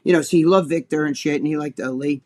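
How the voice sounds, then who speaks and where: Older New Jersey man, podcast co-host, foul-mouthed animated storyteller with a slightly higher voice. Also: fast talker. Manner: animated, profane, acts out quotes in a high voice. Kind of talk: casual storytelling chat.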